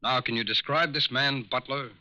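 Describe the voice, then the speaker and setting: A calm, firm lawman in an old radio crime drama. His medium-pitched voice is very bright and forceful, with measured, formal, fatherly questioning. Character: calm, firm, fatherly male FBI special agent. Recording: muffled 1940s radio recording.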